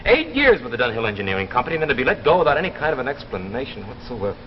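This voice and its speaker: An actor playing a frustrated, defensive out-of-work engineer with an American accent and a halting manner, in 1950s science fiction drama style. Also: male voice, mid-range voice, sing-song, close to an everyday voice. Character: frustrated unemployed married engineer, defensive and hesitant. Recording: muffled, noisy 1950s broadcast recording.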